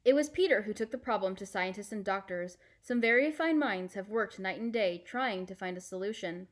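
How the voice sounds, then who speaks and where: A woman reading a children's novel aloud in an expressive storytelling style. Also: medium pitch, normal speed. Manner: acts out dialogue with shifting character voices. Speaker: storybook reader.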